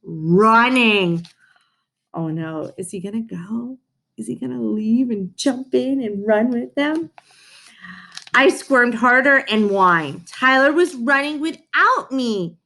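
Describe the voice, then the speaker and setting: Eager young dog, slow and excitable, voiced by a woman. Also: a little high, heavily acted. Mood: anxious, urgent. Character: eager, devoted young dog telling his own story.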